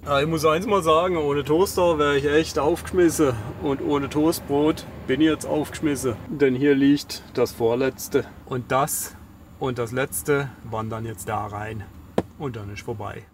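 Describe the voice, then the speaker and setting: A man's voice: medium pitch, steady tone, a little slow. A man giving casual, laid-back travel commentary with dry, colloquial wit. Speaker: travel vlogger. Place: on the move, in a vehicle and outdoors. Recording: clear outdoor and vehicle noise.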